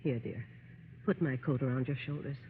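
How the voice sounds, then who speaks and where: An actress playing a gentle, consoling older mother in an old radio drama, with a soft, measured delivery. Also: a bass voice, phone-quality sound. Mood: tender consoling. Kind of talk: 1930s-40s radio drama acting. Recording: thin, noisy vintage radio recording.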